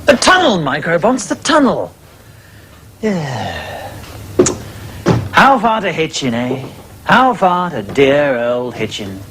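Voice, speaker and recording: A man's voice: fairly high pitch, wildly swooping pitch, takes it slowly, long pauses, background noise, exaggerated voice. A man playing an exasperated, pompous army officer who drawls and goes sing-song.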